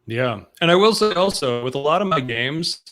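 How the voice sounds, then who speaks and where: A man hosting a casual roleplaying-game discussion over a video call, talking through a stuffy, sniffly cold with quick examples. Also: on the high side, expressive, fairly bright tone, soft background music. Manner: chatty, explains with quick examples. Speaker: host.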